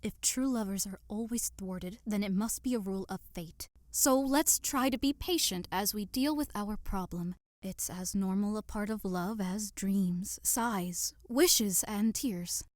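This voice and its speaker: Woman acting a young woman in love, earnest n theatrical, mid pitch, romantic audio drama, very dry sound. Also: unhurried, hardly any pauses, darkish tone, no echo, close to an everyday voice. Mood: earnest devotion.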